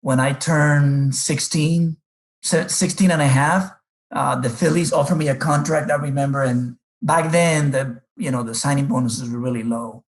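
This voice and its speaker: A man's voice: medium pitch, moderate pace, low-quality recording. A middle-aged man from the Republic, a baseball coach telling his life story unhurriedly in an interview, with many fillers. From Dominican Republic.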